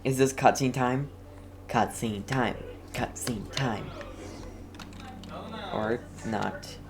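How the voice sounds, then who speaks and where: A man's voice: mid-range voice, steady tone, extremely slow. A man giving casual let's play commentary, reacting to the game in a chatty, offhand way. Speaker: game streamer.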